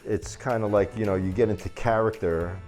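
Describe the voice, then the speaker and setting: A woman's voice: very deep voice, fairly even intonation, fairly quick, darkish tone. Woman, New York accent, casual anecdotal chat, music behind. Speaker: interviewee.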